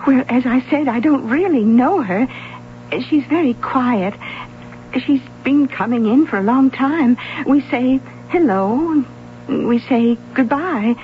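An actress playing a hesitant, helpful and slightly puzzled library clerk in a radio drama, speaking thoughtfully. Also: female voice, high voice, slow pace. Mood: puzzled, unsure. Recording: muffled, noisy 1970s radio broadcast recording.

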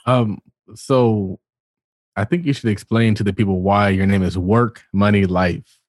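Low-voiced man, casual podcast co-host. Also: steady tone, a little slow, a little mellow, no echo, clean studio sound. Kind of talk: casual banter, asking questions.

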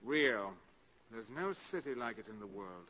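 An actor playing a suave, cynical valet turned spy in a 1950s radio drama, with urbane, precise, sardonic diction. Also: male voice, lowish voice, lively intonation, moderate pace. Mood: wistful. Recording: dull 1950s radio broadcast recording.

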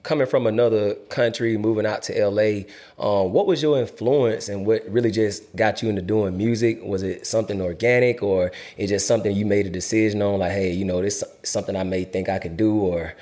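A man co-hosting a podcast, relaxed and casual, dropping short agreeing murmurs. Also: lowish voice, fairly quick, few pauses. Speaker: podcast co-host.

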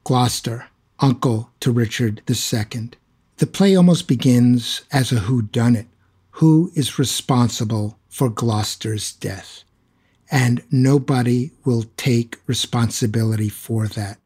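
A man with a husky voice giving explanatory commentary in a dramatic way. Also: mid-range voice, measured pace, darkish tone.

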